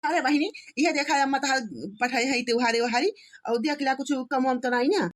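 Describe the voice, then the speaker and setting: A woman's voice: sits high, steady tone, quick pace, close to an everyday voice. A woman voicing a dutiful, polite village brother in a cartoon comedy, with a matter-of-fact Awadhi delivery.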